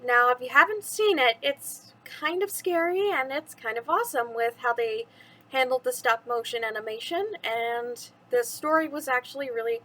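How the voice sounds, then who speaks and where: A woman's voice: high voice, moderate pace, sharp tone, a little hiss or hum. A woman hosting a bedtime story reading for children, chatty and addressing the young listeners directly.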